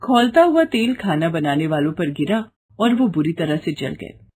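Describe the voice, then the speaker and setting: Husky woman narrating a fairy tale. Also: lowish voice, sing-song, normal speed, darkish tone. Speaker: storyteller narrator.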